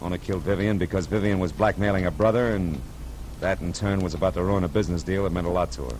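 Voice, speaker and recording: A man's voice: fast talker, darkish tone, lightly acted, poor audio. An American man playing a world-weary, tough private detective in old radio noir style, wry and clipped. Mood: urgent, insistent. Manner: wry, clipped hard-boiled delivery. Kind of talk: 1950s American radio noir acting and narration. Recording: vintage radio broadcast, music and engine rumble behind.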